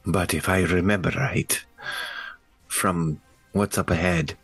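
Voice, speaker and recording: Guy with a low deep voice, relaxed rpg player chat, dry close recording. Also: slow, gravelly, breathy edge, dry recording, soft background music. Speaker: tabletop game player. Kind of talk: casual game chat. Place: remote online call.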